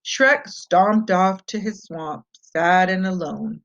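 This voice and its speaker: A woman's voice: on the low side, slow, a bit bright. Woman reading a storybook, slightly muffled. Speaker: storybook reader. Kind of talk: scripted children's read-aloud narration. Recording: muffled, dull lo-fi home recording.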